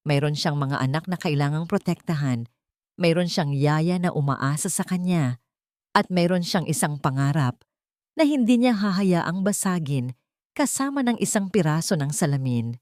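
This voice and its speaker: A woman's voice: deep voice, animated, moderate pace, darkish tone, no echo, clean studio sound. A woman narrating a scripted dramatic story.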